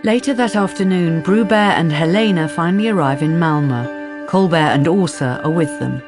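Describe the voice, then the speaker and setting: A woman's voice: on the low side, moderate pace, music in the background, lightly acted. A woman acting as the composed, wry narrator of a detective radio drama, reading scripted narration.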